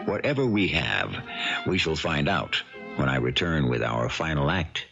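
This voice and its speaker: Vintage radio mystery host: man, gravelly bass, wry and theatrical, lively intonation, music behind. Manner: dramatic, deliberate, wry. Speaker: mystery drama host and narrator. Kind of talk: scripted 1970s radio narration. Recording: dull old radio recording, loud music bed. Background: loud music.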